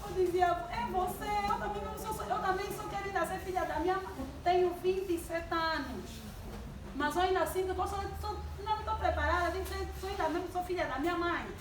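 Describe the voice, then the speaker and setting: A woman's voice: extremely high pitch, fairly quick. A woman in her twenties giving a sermon-style talk to a congregation, asking the audience questions and drawing responses. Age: 20s. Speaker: church youth speaker. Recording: distant mic in an echoey hall with background noise.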